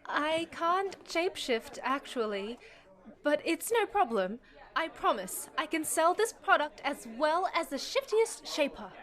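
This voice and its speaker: Woman as high-pitched, eager, gushing job applicant. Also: very animated, measured pace, a bit bright, full character voice. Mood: earnest. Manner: gushing, enthusiastic. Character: eager, bubbly magical job applicant, a non-shifting shapeshifter. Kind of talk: audio drama acting.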